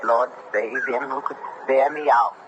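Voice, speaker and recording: A man's voice: mid-range voice, fairly even intonation, unhurried, lightly acted. An actor playing an elderly, folksy New countryman telling a ghost tale in a 1970s radio drama. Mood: wistful reminiscing. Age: older. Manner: folksy, rambling, emphatic. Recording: noisy vintage radio broadcast.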